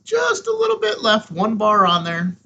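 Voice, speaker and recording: A man's voice: sits high, wildly swooping pitch, moderate pace, sharp tone, husky, muffled recording. A man hosting a casual hands-on product review, talking in a folksy, emphatic way with short punchy phrases. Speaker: host of a ham radio review show.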